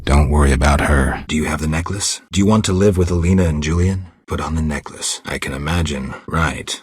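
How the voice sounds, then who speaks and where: A stoic, world-weary monster hunter from a fantasy video game. His voice is exceptionally deep and heavily husky, recorded close and dry. He speaks in terse, restrained phrases, blunt but quietly protective. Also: fairly quick, no echo. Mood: calm, gentle. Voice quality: gravelly, husky low voice. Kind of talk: video game character dialogue.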